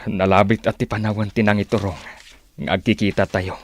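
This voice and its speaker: An actor playing a calm, wise old uncle who counsels in a measured, reassuring way, in an Ilocano-accented radio drama. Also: male voice, fairly low pitch, a little hiss or hum, close to an everyday voice. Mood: resolute, steady. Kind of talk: Filipino radio drama acting.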